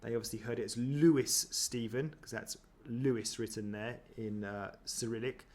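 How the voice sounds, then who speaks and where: A man's voice: mid-range voice, steady tone, moderate pace, darkish tone. A man chatting casually as he reacts to footage of himself, self-deprecating, with many ums and restarts. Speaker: running vlogger.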